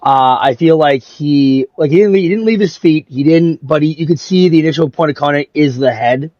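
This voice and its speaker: A man co-hosting a casual hockey podcast, hoarse and raspy after an illness, rambling with lots of "like" and "you know". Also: fairly high pitch, brisk, darkish tone, no room sound, dull audio. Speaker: podcast co-host.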